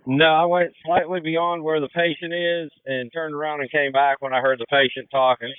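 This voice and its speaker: A man's voice: on the high side, level tone, moderate pace, smooth, no room sound. An American man giving status reports as an emergency officer over two-way radio. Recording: tinny, dry two-way radio.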